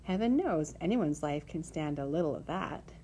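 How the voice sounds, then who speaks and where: A woman as a calm, wise, mellow storybook spider. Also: sits low, slight background noise. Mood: reflective. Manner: measured, articulate, matter-of-fact. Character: wise, calm female spider, gently firm, motherly.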